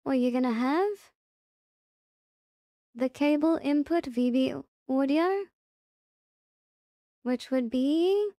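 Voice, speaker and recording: A woman presenting a casual software tutorial step by step, unhurried and thinking aloud as she goes. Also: sits high, no echo.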